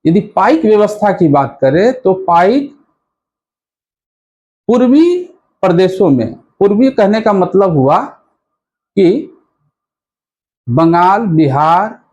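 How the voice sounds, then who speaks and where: A man with a high-pitched voice gives an explanatory lesson as a teacher. He speaks slowly and didactically, restating each key point in other words. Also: very animated, a little mellow, muffled recording. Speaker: history teacher. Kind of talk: explanatory exam-prep lesson.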